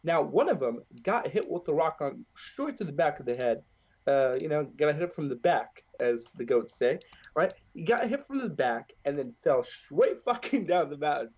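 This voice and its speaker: A man with a high, bouncy voice that swings all over, crude and joking as he spins a wild story. His tone is breathy and husky. It comes through a dull, lo-fi mic. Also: very animated, moderate pace, a little mellow. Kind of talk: rowdy casual chat.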